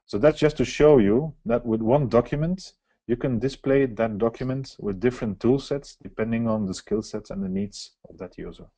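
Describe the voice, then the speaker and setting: Man for a webinar software walkthrough; explanatory, mellow tone, dry close sound. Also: lowish voice, dry recording. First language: Dutch. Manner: explanatory, walks through on-screen steps. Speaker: software presenter. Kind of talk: live software demo webinar.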